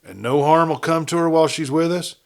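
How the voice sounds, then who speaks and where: A man's voice: a little high, brisk, gravelly, voice pushed up. A man voicing a wary, principled outlaw lieutenant in a Western story, with guarded, earnest cowboy speech. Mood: reluctant, questioning.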